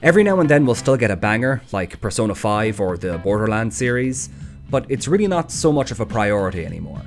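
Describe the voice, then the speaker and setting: Low-voiced man narrating, wry and sweary. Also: very animated, brisk. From Ireland. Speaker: video essay host. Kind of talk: scripted video essay narration. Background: background music.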